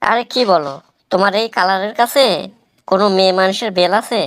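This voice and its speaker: A woman's voice: medium pitch, fairly quick, no room sound, close to an everyday voice. Dry-sounding placating cartoon husband, voiced by a woman. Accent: rural Bangladeshi. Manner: coaxing, flattering. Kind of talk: melodramatic comic cartoon dialogue.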